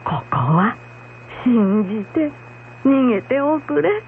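A woman playing a frightened blind old village woman in a folktale radio drama, pleading in a halting, urgent way. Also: a little high, very animated, heavily acted. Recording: muffled lo-fi with hum and noise.

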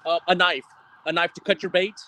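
A man's voice: high voice, level tone, brisk, bright, forceful tone, a little hiss or hum. Rambling man showing fishing gear. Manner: rambling, many fillers, swears casually. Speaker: guest angler. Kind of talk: casual gear walkthrough.

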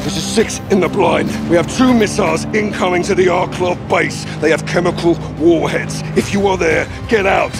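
A special forces soldier in a cinematic war game. He is urgent and hard-edged, with a raised, gravelly voice in clipped bursts and loud music behind. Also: high-pitched, normal speed, few pauses. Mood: desperate. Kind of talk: cinematic military game acting.

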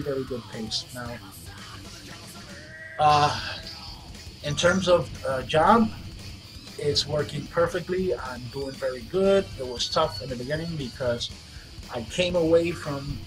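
A man's voice: a little high, poor audio. A man in his fifties with a stuffed-up, congested voice, giving a rambling webcam update to his gaming channel's viewers, with lots of fillers and restarts. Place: small room with wood-panel wall. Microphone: webcam at desk. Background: guitar music playing.

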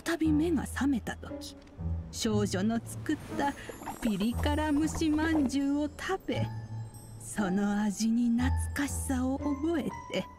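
A wise old woman of a fantasy game, recounts ancient legends with kindly gravity. Her voice sits fairly high and moves slowly, in old-fashioned elderly phrasing. Music plays clearly behind her. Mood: solemn storytelling. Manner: archaic elderly speech, measured and solemn. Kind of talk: Japanese video game storytelling, solemn narration.

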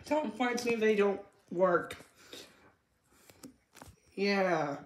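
A woman chatting casually and unscripted about toys, in a halting, repetitive way that trails off. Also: medium pitch, animated. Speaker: toy reviewer. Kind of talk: casual unscripted toy review chat. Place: indoor room with hard tiled floor. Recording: echoey room, background noise, clicks.

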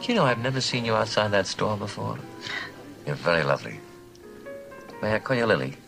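An actor playing a suave, evasive middle-aged writer in a mystery film, courteous, smooth-talking and guarded. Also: male voice, lowish voice, lively intonation, a little fast, music in the background, low-quality recording. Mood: smooth, probing. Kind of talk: television film mystery acting.

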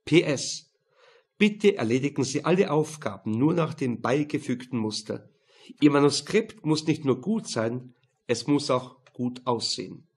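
A man's voice: mid-range voice, lively intonation, measured pace, husky. A man reading a crime novel aloud as scripted audiobook narration.

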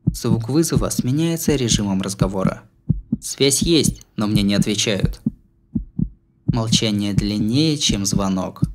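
A man's voice: lowish voice, lively intonation, measured pace. A man reading a novel aloud as an audiobook narrator, in a dramatised scripted style. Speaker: audiobook reader.

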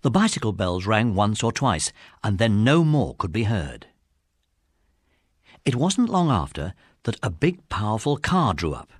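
A man's voice: on the low side, big pitch swings, unhurried, husky, no echo, clean studio sound, full character voice. A man with an English accent narrating a children's adventure audiobook as a scripted story.

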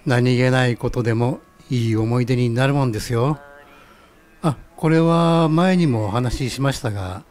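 A man's voice: mid-range voice, moderate pace, darkish tone, lightly acted. A man playing a genial ramen stall owner in a scripted radio skit, explaining things politely. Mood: friendly, informative. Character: genial male ramen stall owner who knows his music.

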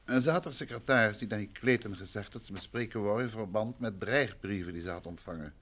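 A man's voice: lowish voice, quick pace, a bit bright. An actor playing a courteous, self-assured male detective in an old Dutch radio play. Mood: matter-of-fact. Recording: vintage radio recording with noise.

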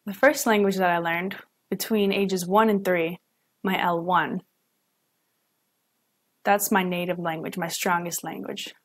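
A young woman, a student interpreter, voicing a signed lecture live at home into a webcam, pausing and correcting herself as she goes. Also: fairly low pitch, fairly even intonation. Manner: halting, with self-corrections and restarts. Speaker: student sign language interpreter.

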